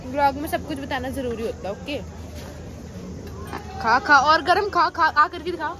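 Young female vlogger, high chatty voice, phone at arm's length, crowd and traffic noise behind. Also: moderate pace. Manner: chatty, addresses viewers as guys, teasing. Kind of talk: casual travel vlog. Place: outdoors on a busy hill-town street. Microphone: phone held at arm's length.

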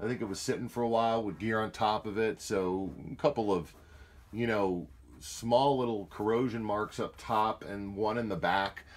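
A man's voice: on the low side, moderate pace. A man talking casually and matter-of-factly as he gives an unscripted product demonstration. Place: indoors, off camera beside a tape deck. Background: music.

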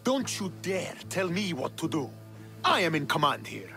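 A proud, embittered Spartan officer in a video game drama. His fairly high male voice is clipped and commanding, with scorn and wide swings of pitch. Music plays clearly behind him. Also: sing-song, moderate pace, bright, forceful tone, heavily acted. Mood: angry, accusing. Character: bitter, proud young Spartan commander, resentful. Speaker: military commander.

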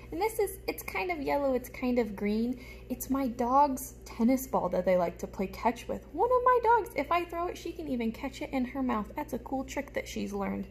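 A woman's voice: a little high, a little hiss or hum. A young woman teacher giving a show-and-tell lesson to small children, explaining things simply and expressively. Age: young adult. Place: small room at home, indoors. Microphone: phone held close in front.

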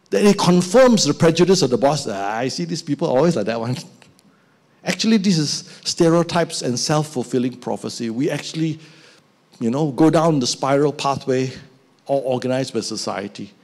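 A man's voice: on the high side, normal speed. An older man with a Malaysian English accent preaching a sermon on a church stage into a handheld mic, acting out little scenes as he goes. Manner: animated, acts out little scenes with put-on voices. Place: church stage with band instruments.

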